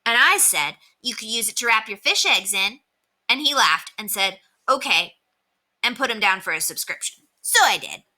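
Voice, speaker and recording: Teacher lady putting on a bragging little boy, high and bright, very pleased with himself. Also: very animated, normal speed, exaggerated voice, poor audio. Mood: boastful. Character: boastful young boy paper carrier.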